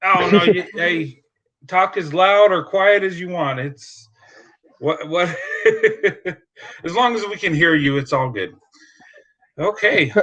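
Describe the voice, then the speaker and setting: A man hosts a live stream in easygoing chat, reassuring his guest and laughing often. His voice is bright and fairly high, and his talk is full of "uh" fillers. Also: slow, long pauses, rough recording.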